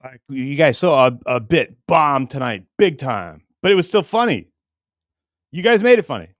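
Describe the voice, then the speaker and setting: A middle-aged man with a fairly high voice chats loosely as a livestream host. He is blunt, crude and jokey, drifting from low muttering to loud bursts. The sound is close and dry. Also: very animated, normal speed, dry recording. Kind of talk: casual, rambling livestream chat.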